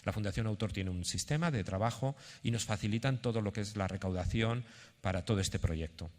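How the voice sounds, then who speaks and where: Deep-voiced man in a reverberant hall. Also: a little mellow. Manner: halting, explanatory, thinking aloud. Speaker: theatre institution representative presenting a project. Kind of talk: informal conference presentation. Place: conference hall. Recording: echoey hall with long reverb.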